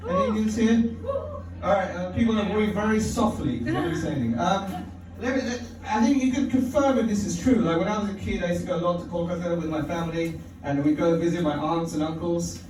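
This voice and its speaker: High-voiced man doing stand-up. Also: quick pace, a crowd in the background. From London. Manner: wry, profane, chuckles at his own lines. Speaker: stand-up comedian. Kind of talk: stand-up comedy set. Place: comedy club stage, indoors. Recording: echoey club audio from far off.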